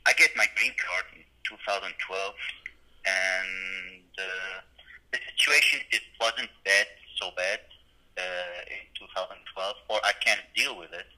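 Syrian man with a bright, low voice. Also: steady tone, rough recording. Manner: halting, searching for words. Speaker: student guest. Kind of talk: casual interview. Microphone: phone call.

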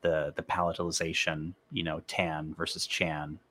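A man's voice: a bass voice, level tone, moderate pace, a bit bright. A man hosting a podcast, explaining ideas eagerly and hedging his opinions in casual conversation. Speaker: podcast host.

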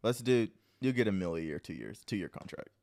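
A young man hosting a podcast, casually chatting and playfully pushing his guest with a teasing, animated manner. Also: on the low side, a little fast, gravelly. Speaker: podcast host. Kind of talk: casual chat.

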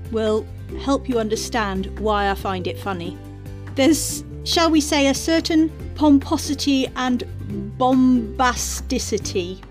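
A woman hosting a readings podcast, chatting in a wry, understated way as she introduces pieces. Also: mid-range voice.

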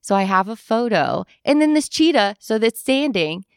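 Lively young woman telling travel stories. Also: on the high side, moderate pace, smooth, dry recording, studio quality. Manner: animated storyteller, acts out quoted voices. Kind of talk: casual chat.

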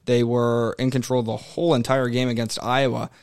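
A man's voice: mid-range voice, flat delivery, normal speed, darkish tone, clear, resonant tone. A young man co-hosting a casual sports talk show, arguing his points emphatically with frequent restarts. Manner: argumentative, emphatic, rambling restarts. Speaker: sports podcast co-host.

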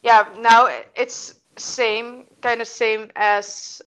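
A woman's voice: fairly high pitch, flat delivery, drawn-out words, fairly bright tone, clean tone, low-quality recording. A woman whose first language is Dutch, speaking English with a Dutch accent, answering interview questions in a hesitant way with many restarts. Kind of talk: casual conversational interview.

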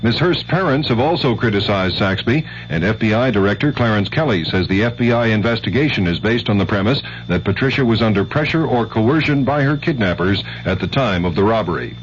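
A man's voice: fairly low pitch, animated, brisk, hardly any pauses. American male network anchor, dull vintage radio. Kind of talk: formal scripted news reading. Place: radio news studio. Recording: dull noisy 1970s AM radio recording.

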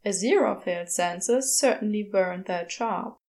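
A woman's voice: mid-range voice, normal speed, gravelly, a little mellow. A woman reading a story aloud as scripted fiction narration. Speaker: podfic reader.

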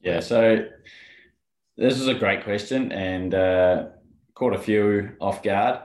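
A man's voice: on the low side, slow pace, reverberant. A man co-hosting a podcast as the golf rules expert, explaining rulings step by step. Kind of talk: casual chat.

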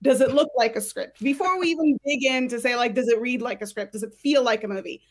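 A woman's voice: fairly high pitch, fast talker. A woman giving frank, direct expert advice as a career coach in a conversational panel. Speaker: screenwriting career coach, guest.

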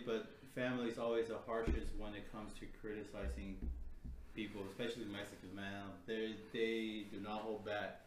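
A man's voice: medium pitch, a little hiss or hum. A man, co-hosting a casual podcast chat in a loose, laid-back way, swearing now and then. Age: 30s. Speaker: podcast co-host. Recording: roomy echo.

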